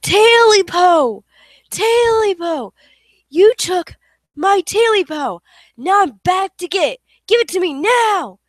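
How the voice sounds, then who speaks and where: Woman reading as a small clawed night creature: high, slow, ghostly wail. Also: lively intonation, dry recording, clean studio sound, heavily acted. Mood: menacing wailing. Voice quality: drawn-out eerie wailing. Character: moaning stubby clawed male creature hunting its tail.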